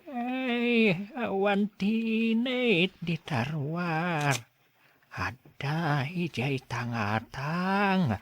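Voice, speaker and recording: Man playing slow, wavering old father feigning senility. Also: high-pitched, lively intonation, a bit bright, full character voice. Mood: confused, fretful. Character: elderly father slyly pretending to be senile. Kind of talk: Ilocano audio drama acting.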